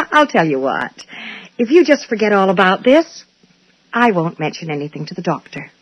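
A woman playing a brisk, officious but polite dental receptionist in a 1980s radio drama. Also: mid-range voice, sing-song, dry recording. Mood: placating. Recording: dull old radio recording.

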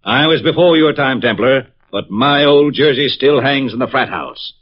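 Guy playing bombastic middle-aged american ex-jock dad, husky voice, muffled old radio show sound. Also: medium pitch, normal speed, close to an everyday voice. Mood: pompous, solemn. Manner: bombastic, self-important, solemn declarations. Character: pompous, hearty middle-aged ex-football alumnus and proud father. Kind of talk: 1950s radio drama acting.

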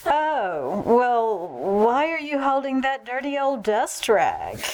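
A fussy, meddling older mother-in-law from an old-time radio comedy, acted by a woman. Her high voice swoops up and down as she lingers over her words. She is sugary and condescending, with fluttery hesitations. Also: sing-song, takes it slowly, a little mellow, a little hiss or hum, exaggerated voice. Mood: cheery, nosy. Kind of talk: 1940s radio comedy acting. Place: live stage show.

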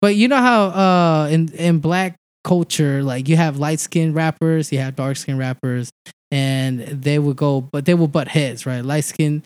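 Young salvadoran comic guy, chill and sweary, higher-ish voice, dry close sound. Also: unhurried, clean tone, no echo. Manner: loose, swearing, laughs often. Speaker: stand-up comedian, podcast guest. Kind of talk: casual podcast chat.

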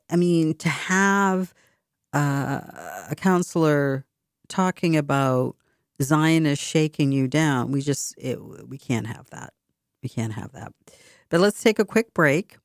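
A woman's voice: sits low, dry recording, studio quality. A woman with a Boston accent hosting a community radio talk show, speaking conversationally with fillers and restarts. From Boston area. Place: radio studio.

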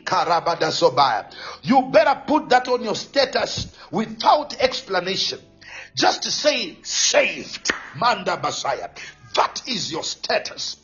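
Male pentecostal preacher, slowish and repetitive, really exhorting, big swings in pitch, kinda muffled lo-fi audio. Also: a bit bright. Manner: declamatory, repetitive, exhorting, stretches key phrases. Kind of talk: charismatic Pentecostal sermon with bursts of tongues. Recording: dull lo-fi sound, faint music behind.

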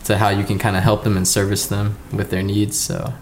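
Low-voiced relaxed male guest. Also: fairly quick, a little hiss or hum. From Texas, United States. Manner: relaxed, easygoing, many ums and you-knows. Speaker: podcast guest. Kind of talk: casual interview chat. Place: home garage podcast studio.

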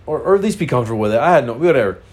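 A man's voice: mid-range voice, animated, very fast, darkish tone. A man with a congested, nasal voice, casually telling a frank, rambling personal story full of fillers.

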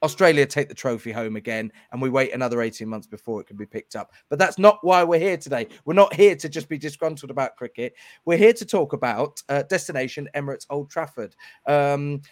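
A man's voice: a little high, brisk, no room sound, studio quality. A man hosting a podcast interview, explaining things at length in a conversational way. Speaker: podcast host.

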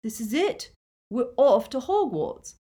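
A woman's voice: mid-range voice, sing-song, unhurried, mellow, voice pushed up. A woman voicing an intense, eager young schoolboy in an audiobook, blunt and urgent. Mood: exhilarated.

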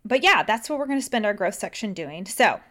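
A woman's voice: medium pitch, very animated, fairly quick, a bit bright. A woman co-hosting a podcast, talking in a chatty, upbeat way and laughing often. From United States. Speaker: podcast co-host. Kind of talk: casual podcast chat.

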